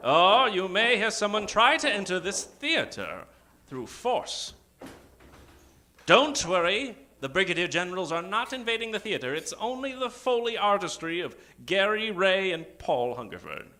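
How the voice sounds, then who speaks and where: Bright-voiced man, theatrical old-time radio announcer. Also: sits high, very animated. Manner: dramatic, with drawn-out suspenseful pauses and sudden emphasis. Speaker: announcer and host of an improvised radio show. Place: theater stage. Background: live keyboard music.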